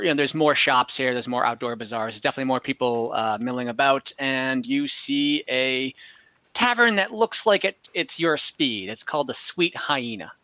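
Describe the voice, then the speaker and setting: Bright, high-voiced man running a tabletop game. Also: no echo. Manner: halting, informal, jokey. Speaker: game master. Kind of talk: casual improvised tabletop game narration. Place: indoors at home on a video call. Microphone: computer or headset mic on a video call. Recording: dull, compressed video call audio.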